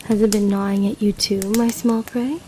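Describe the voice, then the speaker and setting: A woman playing an imperious, amorous serpent empress in a fantasy audio drama, speaking formally and seductively in a breathy voice that often drops to a whisper. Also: mid-range voice, fairly even intonation, warm tone, a little hiss or hum, lightly acted. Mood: seductive, longing. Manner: slow, commanding, formal, seductive. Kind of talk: romantic fantasy audio roleplay.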